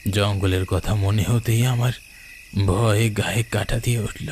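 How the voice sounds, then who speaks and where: Older village storyteller played by a man, lowish voice, suspenseful ghost-tale narration for a cartoon, clear cricket and night noise behind. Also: steady tone, measured pace, a little mellow, close to an everyday voice. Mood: uneasy, suspenseful. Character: older village man recounting his youthful ghost encounter. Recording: noisy night ambience with chirping crickets.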